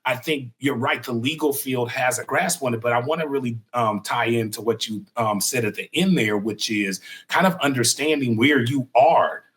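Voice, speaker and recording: A middle-aged man hosts a podcast interview in a relaxed, conversational way. His voice sits at an ordinary pitch for a man. He gets animated when he acts out what other people say, and his voice rises when he quotes them. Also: normal speed, few pauses. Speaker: podcast host.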